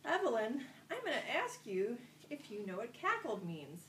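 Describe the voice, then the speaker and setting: A woman's voice: on the high side, big pitch swings, moderate pace, a bit bright. A woman working as an elementary school teacher leads an interactive vocabulary lesson, repeating key words and prompting the children with questions. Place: classroom, recorded from a distance. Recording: roomy, distant microphone with faint background noise.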